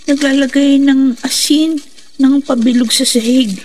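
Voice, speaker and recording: A timid young girl, with a high childlike voice. She questions things uneasily, in radio horror acting over a noisy background. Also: fairly even intonation, a little slow, a little mellow. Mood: curious, uneasy. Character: frightened, curious young daughter of a healer.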